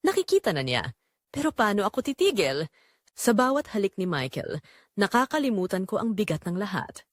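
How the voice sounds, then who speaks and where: A woman's voice: mid-range voice, sing-song, normal speed, dry recording, studio quality. A woman narrating a scripted dramatic story in the first person.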